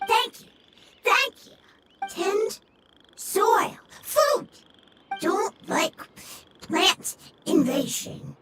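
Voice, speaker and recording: A woman voicing a small, earnest childlike forest creature in a video game, speaking in halting, broken phrases. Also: extremely high pitch, sing-song, loud music behind the voice, full character voice.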